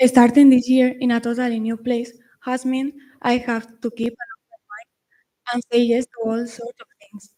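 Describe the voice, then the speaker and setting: Young woman, flat mellow voice. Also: fairly high pitch, normal speed, poor audio. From Spain. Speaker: exchange student. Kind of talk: prepared speech. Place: school awards ceremony.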